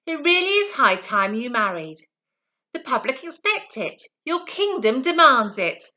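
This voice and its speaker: A woman's voice: high voice, big pitch swings, a little slow, pushed and bright, lo-fi sound, full character voice. A woman voicing a bossy, nagging older queen and mother from a storybook, imperious, proper and emphatic. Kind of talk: storybook character voice.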